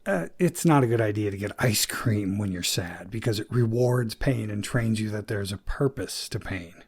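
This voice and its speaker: Husky, hesitant male therapist. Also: on the low side, moderate pace. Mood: probing, measured. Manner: hesitant, clinical. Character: earnest, awkward therapist, gently probing and easily flustered. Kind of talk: naturalistic audio drama dialogue.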